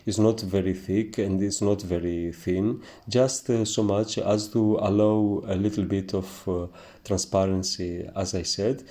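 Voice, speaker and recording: A man with a thick Greek accent teaching painting, talking gently through his steps with many hesitant fillers. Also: low voice, steady tone, measured pace. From Greece. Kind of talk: relaxed narrated painting tutorial.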